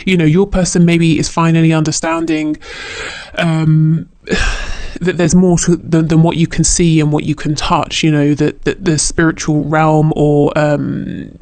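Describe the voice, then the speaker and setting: A man's voice: on the high side, little pitch movement, unhurried, darkish tone, clean tone, dry recording. A man giving an unscripted spiritual tarot reading to the viewer, reflective and often hesitant as he searches for phrasing. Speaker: tarot reader.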